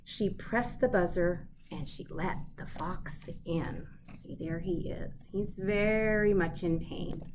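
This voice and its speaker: A woman giving an expressive read-aloud of a children's storybook. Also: fairly low pitch, slow pace, noisy background.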